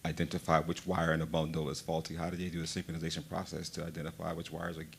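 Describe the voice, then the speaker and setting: A man with a very deep, gravelly voice asks technical questions quickly in a flat tone. Faint hiss sits behind him. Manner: questioning, repeats himself. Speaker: panel member. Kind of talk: asking questions.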